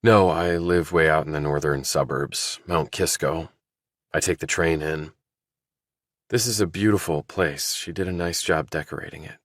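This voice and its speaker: A man's voice: rumbling low, normal speed, dry recording, clean studio sound. A man voicing a guarded, polite young man in audiobook dialogue.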